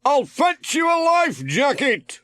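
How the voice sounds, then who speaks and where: A man voicing a brisk, confident, hearty amateur sailor who gives bossy instructions in a children's audiobook. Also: very high voice, wildly swooping pitch, no echo, pitched above the performer's own voice, heavily acted. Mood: shouting. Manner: confident, instructing, calling out loudly.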